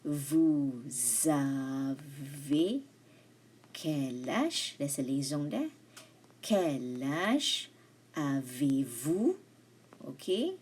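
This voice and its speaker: A woman teaching beginner French over slides, speaking English with a Malaysian accent, slowly repeating and modelling each phrase. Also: low-pitched, a little mellow, slightly rough. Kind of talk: recorded lecture over slides.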